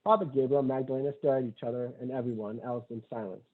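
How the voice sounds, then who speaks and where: A man's voice: mid-range voice, steady tone, fast. Man reading stage directions, phone-like sound. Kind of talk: scripted narration at a table read. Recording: tinny phone-like audio.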